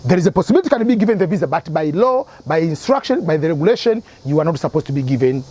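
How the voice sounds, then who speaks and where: A man's voice: on the high side, expressive, racing, dark tone, breathy edge. A man from Tanzania, hosting an advice channel and explaining his points through repeated examples. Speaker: immigration advice channel host.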